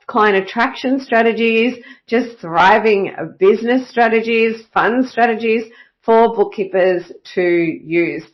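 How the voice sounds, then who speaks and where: Woman hosting a solo podcast, a bit croaky with a cold, upbeat with lots of "uh"s, mid pitch, slightly dull sound. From Australia. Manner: upbeat and enthusiastic, with many "uh" fillers. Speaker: podcast host.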